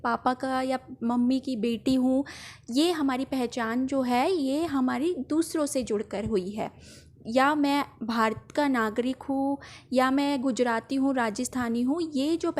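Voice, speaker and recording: High-voiced Indian woman teaching. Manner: didactic, step-by-step explaining. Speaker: home science teacher. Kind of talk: explanatory school lesson narration.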